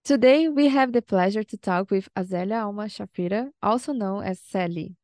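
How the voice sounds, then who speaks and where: A woman's voice: medium pitch, moderate pace, mellow, dry recording, clean studio sound. A woman co-hosting a podcast, reading a scripted intro in an upbeat, read-aloud way. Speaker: podcast co-host. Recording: intro with music underneath.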